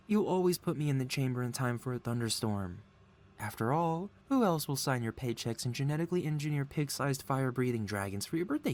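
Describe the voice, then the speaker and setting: A man's voice: mid-range voice, darkish tone, lightly acted. Man acting a theatrical, swooping mad scientist villain. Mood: playful. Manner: melodramatic, playfully wheedling, feigned innocence. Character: gleeful, lovesick mad scientist supervillain boss, male, theatrical. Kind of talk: ASMR audio roleplay, scripted character acting.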